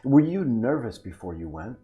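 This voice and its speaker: A man's voice: on the low side, sing-song, normal speed, warm tone. A man hosting a conversational interview, speaking in an upbeat, encouraging manner. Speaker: podcast host.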